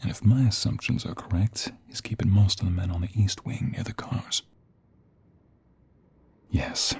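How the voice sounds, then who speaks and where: A man playing a protective, tender mobster in a hushed, intimate and urgent ASMR romance roleplay, with a breathy, gravelly voice. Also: low voice, heavily acted. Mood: tense, determined. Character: protective, tender mobster rescuing his lover.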